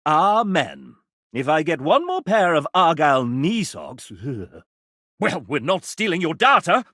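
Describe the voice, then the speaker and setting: A man voicing a sassy, arch gentleman who narrates a dating video game in a theatrical, mock-genteel and sardonic manner. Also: fairly high pitch, sing-song, measured pace, no echo, clean studio sound, full character voice. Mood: sassy, wry.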